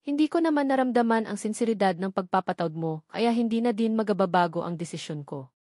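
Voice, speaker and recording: A possessive billionaire husband from a romance audiobook, read by a woman. He is earnest and soft-spoken, pleading yet insistent. The recording is close and dry. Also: medium pitch, animated, fast, no echo, lightly acted. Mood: firm. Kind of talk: dramatized romance audiobook dialogue.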